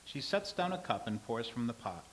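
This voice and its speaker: A man reading the stage directions aloud in a plain, even way during a staged play reading. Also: medium pitch, moderate pace, a little hiss or hum.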